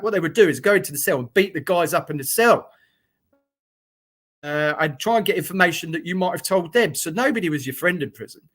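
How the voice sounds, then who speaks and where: A man's voice: on the high side, fairly bright tone. A middle-aged man from Britain, a former soldier talking frankly as a guest on a video call, with plenty of fillers and restarts. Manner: blunt, frank talk with many fillers and restarts. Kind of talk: conversational interview account.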